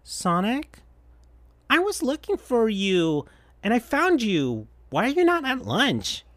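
A reader voicing a small, kind young male fox who pleads softly and sounds worried. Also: mid-range voice, wildly swooping pitch, slow, no echo, voice pushed up, full character voice. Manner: soft, pleading.

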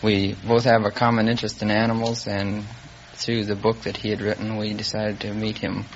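A man's voice: lowish voice, little pitch movement, a little fast. An American man in his twenties from California, giving plain, earnest answers as a quiz contestant. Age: 20s. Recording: muffled vintage radio recording with noise.

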